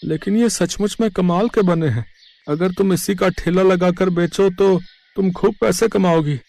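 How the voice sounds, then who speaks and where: A man's voice: sits high, normal speed, vocal fry, a little mellow, no echo. A man voicing a poor village farmer, an earnest, plaintive husband and father, in a moral story. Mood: impressed.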